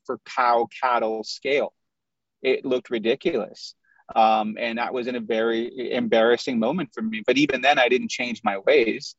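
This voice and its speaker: A man's voice: medium pitch, no room sound. A man in his thirties from the American Midwest, candidly telling his life story as a guest on a video call interview. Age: 30s. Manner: candid, storytelling, lists things in runs. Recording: dull lo-fi video call audio.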